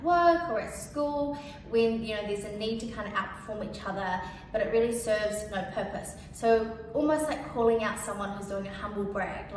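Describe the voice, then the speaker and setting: A young woman with a New Zealand accent who grew up speaking Cantonese talks through her story in a relaxed, chatty way, full of "like" and "you know". Her voice sits at an ordinary middle pitch. It comes from some distance, in a strongly reverberant space with clear background noise. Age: young adult. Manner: casual and chatty, with many "like" and "you know" fillers. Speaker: featured speaker. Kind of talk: personal testimonial.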